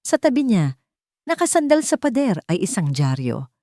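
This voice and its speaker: A woman narrating a scripted romantic thriller dramatically, in short, deliberate phrases with pauses. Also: mid-range voice, sing-song, warm tone, no room sound, studio quality. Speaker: storyteller.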